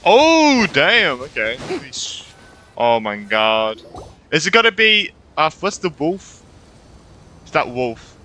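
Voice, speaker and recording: A male streamer talks casually over a game with reactive, sweary commentary. His voice is bright and a little high, swinging widely in pitch. Faint music plays underneath. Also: takes it slowly, slight background noise. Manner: animated, profane, reactive. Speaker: gaming streamer.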